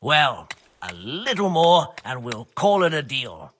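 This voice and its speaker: A man's voice: medium pitch, big pitch swings, takes it slowly, fairly bright tone, a little hiss or hum. A man playing a blunt, stubborn, money-minded medieval builder in a video game, haggling grudgingly. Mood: grudging bargaining. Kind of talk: video game cutscene acting.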